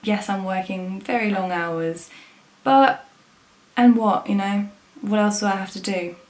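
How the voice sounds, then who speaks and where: A woman's voice: mid-range voice, steady tone, unhurried, a little mellow, low-quality recording. A woman, talking frankly and casually to camera, swearing now and then. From England. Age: 20s. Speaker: vlogger.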